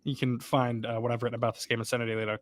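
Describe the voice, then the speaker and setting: A man's voice: mid-range voice, little pitch movement, racing. A man co-hosting a college football podcast, breaking down plays as he thinks aloud and often asks "right?". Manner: thinks aloud, repeats himself, seeks agreement with "right?". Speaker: podcast co-host, sportswriter. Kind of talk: casual sports podcast analysis. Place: stadium press box.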